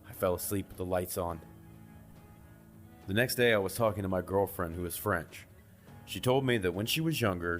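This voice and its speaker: A man's voice: fairly low pitch, fairly bright tone. A man reading a scripted first-person creepypasta as a horror story narrator. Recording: music behind the voice.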